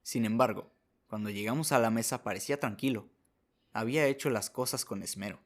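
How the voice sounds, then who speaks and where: A man's voice: mid-range voice, a little fast. A man narrating a short story as a scripted radio drama, reading as the narrator.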